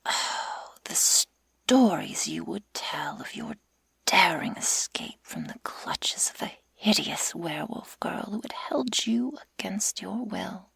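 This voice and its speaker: A woman playing a sadistic, menacing werewolf girl in an ASMR roleplay, taunting in a breathy whisper with slow, mock-sweet deliberation. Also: fairly low pitch, sing-song, fairly bright tone, no room sound, heavily acted. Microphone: close microphone.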